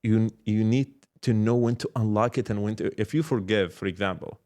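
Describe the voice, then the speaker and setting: Male podcast guest, startup mentor type, lowish voice, casual and reflective, restarts phrases as he explains. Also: warm tone. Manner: halting, repeats words, explains with examples and check-questions. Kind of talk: casual reflective interview talk.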